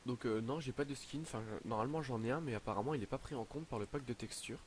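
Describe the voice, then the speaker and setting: A teenage boy narrating his game in a casual, hesitant way, filling pauses with "donc, euh" and sounding slightly stuffy from a cold. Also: mid-range voice, a little hiss or hum. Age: teens. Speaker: gaming YouTuber. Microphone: headset.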